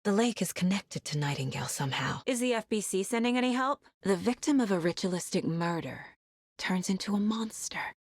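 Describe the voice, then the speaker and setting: A woman's voice: on the low side, slightly rough, dry recording, studio quality. A woman playing a determined, analytical FBI agent and mother in a video game, speaking in a serious, naturalistic way. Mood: businesslike. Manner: serious, matter-of-fact, analytical. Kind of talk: naturalistic game voice acting, inner monologue and dialogue.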